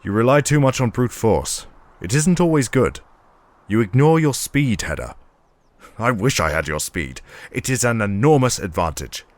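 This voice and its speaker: An actor playing a big middle-aged warrior father in an audio drama, advising in a measured, proud and teasing way. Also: mid-range voice, sing-song, dry recording, close to an everyday voice. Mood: earnest advising.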